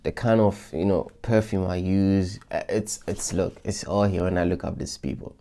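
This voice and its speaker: A man's voice: low voice, steady tone, normal speed, warm tone. A man vlogging to his followers in a casual, reflective way, talking through his goals with loose restarts and many fillers. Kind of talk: casual motivational talk to followers.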